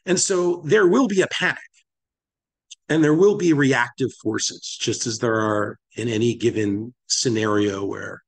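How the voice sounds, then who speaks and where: A man's voice: medium pitch, unhurried, creaky, breathy edge, poor audio. Reflective man musing on a podcast. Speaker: podcast host.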